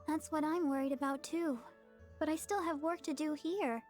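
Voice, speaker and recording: Woman playing a high-voiced, caring young church maiden. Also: steady tone, moderate pace, darkish tone, soft background music, lightly acted. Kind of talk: anime video game voice acting.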